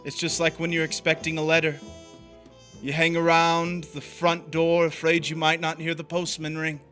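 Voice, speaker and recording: A man's voice: on the high side, completely flat delivery, normal speed, fairly bright tone, soft background music, lightly acted. An actor playing a doomed, resigned man in a 1940s-style radio drama, delivering a brooding confessional monologue. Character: doomed noir man narrating his fate, resigned.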